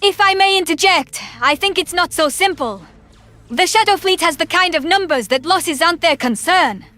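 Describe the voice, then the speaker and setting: A woman's voice: very high voice, animated, moderate pace, bright, forceful tone, soft background music, exaggerated voice. A woman playing an earnest, thoughtful human crew member in a sci-fi audio story, politely and formally explaining her view. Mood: earnest, grave.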